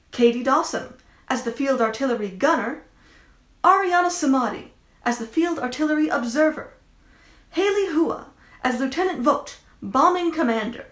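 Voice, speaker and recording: A woman's voice: sits high, a bit bright. A woman reading a scripted announcement of a cast list before a performance. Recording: echoey room sound.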